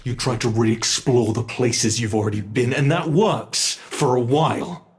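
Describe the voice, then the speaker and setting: A British man voicing an ancient, bored male monster in a horror ASMR roleplay, speaking slowly and intimately with soft whispers. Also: medium pitch. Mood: brooding. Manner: slow, intimate, menacing delivery with soft whispers.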